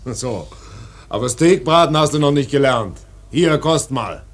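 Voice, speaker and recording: An actor in a radio play voicing a bossy, teasing ship's mate with a gruff, mocking, commanding manner. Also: male voice, medium pitch, lively intonation, a little slow. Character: bossy, teasing ship's mate fussy about his steak. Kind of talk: German radio play acting.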